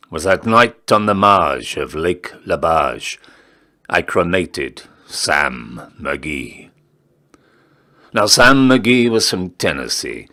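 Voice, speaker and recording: A man reciting a narrative ballad in a measured, theatrical storytelling style with deliberate pauses. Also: low voice, a bit bright. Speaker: poetry reader, town crier.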